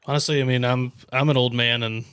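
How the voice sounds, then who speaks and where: A man's voice: mid-range voice, fairly even intonation, brisk, a bit bright, smooth, no echo, studio quality. A man co-hosting a casual sports podcast, chatting informally.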